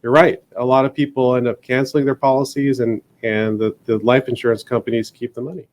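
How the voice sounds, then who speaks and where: A man's voice: medium pitch, level tone, brisk, a little mellow. A man appearing as an insurance agent guest on a radio show, giving short, matter-of-fact answers.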